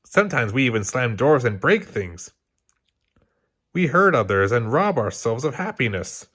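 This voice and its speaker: A man's voice: medium pitch, big pitch swings, moderate pace, a bit bright, slightly rough. A man voicing a goofy, naive male cartoon vegetable detective, oblivious and matter-of-fact, in a children's storybook. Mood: earnest.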